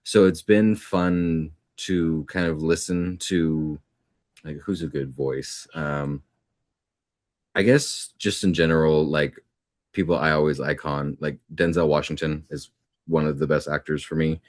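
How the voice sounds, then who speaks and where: A young adult man with a deep natural speaking voice, talking as a voice actor guest in a casual interview, easygoing and reflective with plenty of fillers. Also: fairly even intonation, unhurried, dry recording, clean studio sound.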